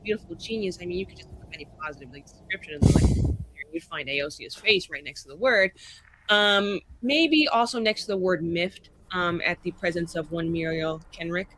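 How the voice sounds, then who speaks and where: A woman talks casually as a player on a game stream. Her voice is bright and keen, her manner gushing, slangy and tongue-in-cheek. A faint layer of noise sits behind her. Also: lowish voice, rough recording. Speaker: player on an actual play show. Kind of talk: casual chat introducing a character.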